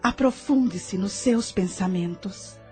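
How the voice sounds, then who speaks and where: A woman plays a gentle, patient spirit guide in an audio drama, speaking with a Brazilian accent. Her sweet voice is dark and soft, the pace very slow and reassuring. Light music sits underneath. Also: mid-range voice, lightly acted. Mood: calm, guiding.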